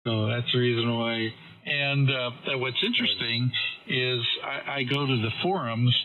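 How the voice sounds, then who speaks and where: A man's voice: medium pitch, unhurried. A man chatting casually as an amateur radio operator, questioning and thinking aloud, over a radio transmission. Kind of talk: casual ham radio chat. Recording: noisy, thin phone-like radio transmission.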